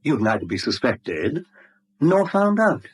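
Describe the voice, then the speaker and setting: An actor playing an oily, polite blackmailer in a British radio drama, falsely apologetic and genteel, with a British accent. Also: male voice, medium pitch, sing-song, a little slow, slightly rough, full character voice. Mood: oily persuasion. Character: smooth, mock-regretful male blackmailer, oily and polite. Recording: vintage 1970s radio drama recording.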